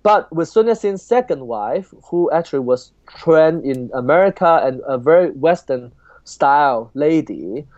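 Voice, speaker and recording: Man, Chinese accent, higher voice, halting emphatic English, interview guest, dry lo-fi recording. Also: expressive, darkish tone, no echo. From southern China. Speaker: opera composer, podcast guest. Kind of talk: interview talk.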